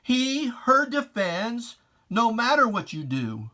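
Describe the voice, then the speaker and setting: Slow, theatrical reader of solemn verse. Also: medium pitch, very animated, sharp tone. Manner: theatrical, solemn, emphatic declamation with deliberate pauses; archaic preacherly diction, addressing a foe directly. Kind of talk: dramatic poetry reading, scripted and declaimed.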